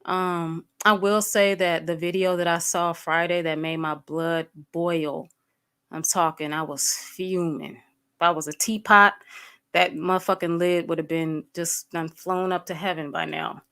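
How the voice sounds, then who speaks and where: A woman's voice: on the low side, little pitch movement, unhurried, a bit bright, clean tone. A woman in her thirties hosting a casual livestream, blunt, fired up and profane, with lots of fillers, speaking straight to her chat and greeting viewers by name. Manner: greets viewers by name and addresses them directly. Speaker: livestream host.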